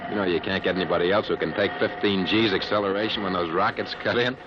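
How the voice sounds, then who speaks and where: An actor playing a breezy, wisecracking American test pilot in a 1950s radio drama. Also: male voice, low-pitched, steady tone, fast talker, lightly acted. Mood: cocky, breezy. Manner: breezy, wry, clipped. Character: cocky, easygoing male test pilot, wisecracking and brave. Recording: noisy, muffled vintage radio recording.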